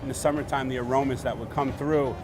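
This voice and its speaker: A man's voice: mid-range voice, steady tone, fast talker, music in the background. A man from Brooklyn, a pitmaster and restaurateur speaking with heartfelt pride about his food. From Brooklyn, New York. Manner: heartfelt, proud. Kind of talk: casual interview.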